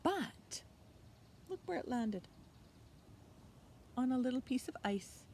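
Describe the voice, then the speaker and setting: A woman's voice: high voice, slow pace. A middle-aged woman hosting children's storytime outdoors, speaking simply and expressively to young children. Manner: expressive, simple child-directed talk with questions. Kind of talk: picture book reading and children's lesson. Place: outdoors by a leafy hedge. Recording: outdoor recording with faint noise.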